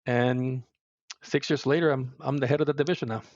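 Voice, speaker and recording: A man's voice: mid-range voice, steady tone, brisk, darkish tone, dry recording, highs cut off. A middle-aged man from Puerto Rico with a Puerto Rican Spanish accent, speaking as a guest in a podcast interview in an earnest, reflective way with frequent restarts. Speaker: guest, service executive.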